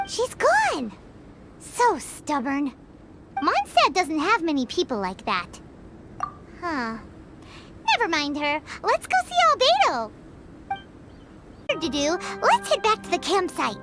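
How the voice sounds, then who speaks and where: A woman voicing a tiny, childlike, excitable fairy companion with a squeaky voice in a video game cutscene. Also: slow pace, pauses often, heavy background noise, exaggerated voice. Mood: puzzled, peeved. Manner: childish, exclamatory, speaks of self in third person. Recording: music behind the voice.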